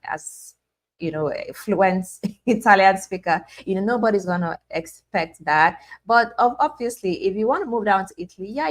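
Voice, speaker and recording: A young woman hosting a casual live stream, chatting and reading out viewers' names with many restarts and fillers. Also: lowish voice. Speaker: livestream host.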